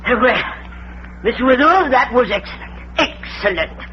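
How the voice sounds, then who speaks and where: A man playing a fussy, excitable little man who is secretly a crime boss, in 1940s radio style. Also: very high-pitched, moderate pace. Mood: fawning. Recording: muffled, hissy vintage radio recording.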